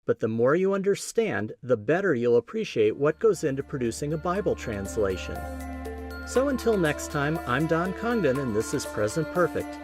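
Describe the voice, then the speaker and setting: A man with a Midwestern American accent hosting a podcast, giving a scripted teaching talk in a measured, explanatory way with emphatic stresses. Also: a little high, big pitch swings, faint music behind. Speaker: podcast host.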